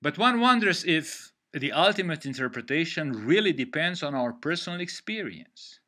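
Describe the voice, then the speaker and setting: An older man from Romania with a Romanian accent, giving an online literature lecture over a headset on a video call from home, in a measured, deliberate way. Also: fairly high pitch, big pitch swings, bright, forceful tone. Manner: measured, deliberate explaining with pauses. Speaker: literature teacher. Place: home room.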